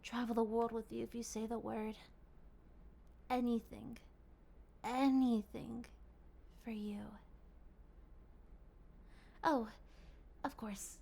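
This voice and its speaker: A woman playing a possessive, lovestruck vampire in an ASMR roleplay, speaking in formal, ornate, pleading phrases that often slip into breathy whispers. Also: on the high side, a little mellow, a little hiss or hum. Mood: yearning, pleading, then apologetic, smitten. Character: obsessive, courtly, possessive female vampire in love.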